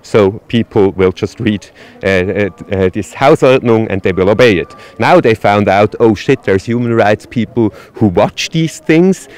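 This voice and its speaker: Articulate man with a low voice. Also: unhurried, darkish tone. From Switzerland. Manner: articulate, argumentative, with frequent "uh" restarts. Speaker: demonstrator interviewed at a protest. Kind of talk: street interview answers.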